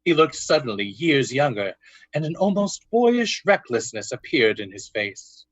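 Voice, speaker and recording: A man's voice: medium pitch, very animated, measured pace, breathy edge. A man narrating a literary radio drama from a script, in a muffled, dry recording.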